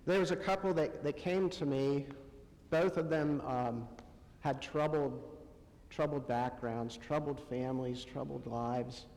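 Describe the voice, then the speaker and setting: Male preacher, unhurried and halting, fairly high voice, conversational sermon delivery in a long-reverb church space. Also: darkish tone. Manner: halting, pausing often between short phrases. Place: church sanctuary, amplified to a congregation.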